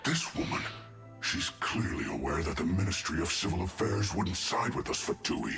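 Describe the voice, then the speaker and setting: A man's voice: low-pitched, very animated, normal speed, full character voice. A man voicing a defensive male soldier in a game who grumbles and sulks. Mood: sulky. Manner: sulky, defensive. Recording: game audio with clear music.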